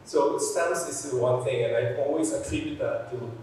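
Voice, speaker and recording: A man from the Philippines, an art collector, telling students how he started collecting. He talks haltingly, with restarts and "uh" fillers. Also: mid-range voice, darkish tone, recorded from a distance, slight background noise. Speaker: art collector, guest speaker. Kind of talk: personal talk to students. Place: museum gallery hall. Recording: echoey hall, speaker off-mic.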